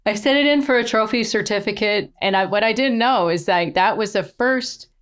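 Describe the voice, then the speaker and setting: A woman's voice: medium pitch, normal speed, clean tone. A middle-aged woman, a podcast guest and angler, telling her stories with enthusiasm and frequent laughs. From born in Australia, raised in the eastern United States. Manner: enthusiastic storyteller, laughs often. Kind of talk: casual interview chat.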